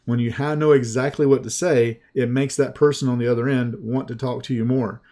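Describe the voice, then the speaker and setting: A man with a Southern US accent, speaking as a sales coach in a relaxed, folksy webinar pitch. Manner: slow, folksy, conversational with restarts.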